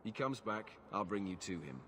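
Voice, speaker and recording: A weary guard captain who turns people away in a curt, firm voice that rises and falls a lot. There is a faint ambient hum behind him. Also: male voice, lowish voice, lively intonation, normal speed, fairly bright tone. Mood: stern. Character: weary male city guard captain, blunt. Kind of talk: video game cutscene acting.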